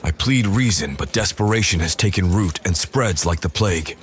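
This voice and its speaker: Man acting male officer narrator, low pitch, earnest, grave scripted drama delivery, slight background noise. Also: lively intonation, normal speed. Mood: earnest, troubled. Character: loyal, earnest male royal officer narrating his investigation. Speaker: writer and performer of the audio drama. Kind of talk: scripted first-person audio drama narration.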